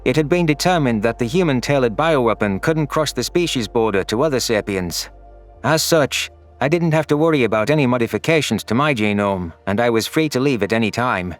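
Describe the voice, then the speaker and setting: A man's voice: mid-range voice, animated, brisk. A man reading a scripted first-person science fiction story as an audio narrator. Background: faint background music.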